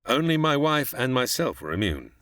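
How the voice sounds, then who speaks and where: A man's voice: medium pitch, big pitch swings, moderate pace, fairly bright tone, dry recording, clean studio sound. Man acting a formal, guarded middle-aged scientist. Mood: grave, haunted. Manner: formal, articulate, theatrical. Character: cultured, guarded middle-aged scientist, widowed father on remote planet. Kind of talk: old-time radio sci-fi acting.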